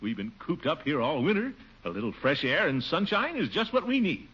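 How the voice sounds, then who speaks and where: A man's voice: fairly high pitch, huge pitch swings, brisk, a bit bright, slightly rough, exaggerated voice. An actor playing a blustering, pompous middle-aged male city official who gives a hearty laugh, in 1940s radio sitcom style. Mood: eager. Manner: jovial, boastful, sneaky excuses. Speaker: Gildersleeve, water commissioner. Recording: muffled old radio broadcast, faint hiss.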